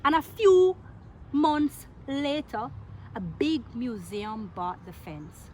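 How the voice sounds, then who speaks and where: A woman reading a picture book aloud to children in an expressive, playful way, drawing out words and asking her listeners questions. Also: fairly high pitch, a little hiss or hum. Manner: theatrical, playful, with drawn-out words and exclamations.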